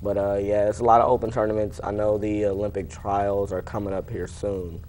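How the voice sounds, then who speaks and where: A man's voice: fairly low pitch, little pitch movement, fairly quick, darkish tone, slight background noise. A young American man in his late teens giving casual, plain-spoken interview answers, somewhat tentative. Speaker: interview guest, high school wrestler.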